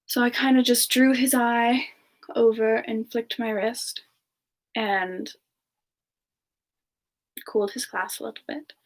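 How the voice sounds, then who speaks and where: Woman playing a slow, breathy, bashful siren. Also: a little high, steady tone, poor audio. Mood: fond, reminiscing. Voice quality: soft, breathy, slightly creaky. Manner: slow, hesitant, reminiscing with pauses. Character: bashful, soft-spoken female siren ice spirit, bar singer. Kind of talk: semi-ASMR in-character storytelling.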